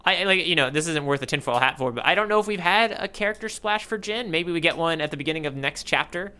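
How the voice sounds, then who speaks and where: A man co-hosts a casual recap podcast. His voice is very bright and sits a little higher than most men's. He talks in a chatty, enthusiastic, conversational way. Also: expressive, fast, clear, resonant tone. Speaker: podcast co-host. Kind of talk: casual chat recapping a manga chapter.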